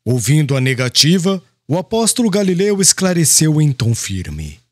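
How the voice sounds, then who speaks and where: A man's voice: a little high, very animated, normal speed, a little mellow, dry recording, clean studio sound. A man narrating a religious audiobook in a solemn, formal storytelling style. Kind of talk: scripted literary religious narration. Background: glockenspiel-like music.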